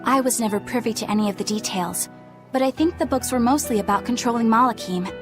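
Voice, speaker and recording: A woman's voice: fairly high pitch, quick pace, music in the background, natural voice. A woman playing earnest young exorcist Eleanor. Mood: serious. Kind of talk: game dialogue dub. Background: game score.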